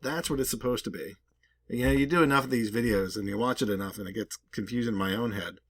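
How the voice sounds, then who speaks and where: A man's voice: lowish voice, quick pace, no echo. Casual rambling man, dry close recording. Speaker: video host. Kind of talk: casual commentary.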